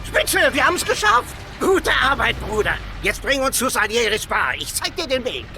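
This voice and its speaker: Frantic cocky gangster: very high, swooping delivery, barked orders, game drama. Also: male voice, very animated, normal speed, gravelly, pushed and bright, soft background music, full character voice. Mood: excited relief. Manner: urgent, barking commands. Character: frantic, cocky American gangster fleeing in a taxi. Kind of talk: dramatic video game acting.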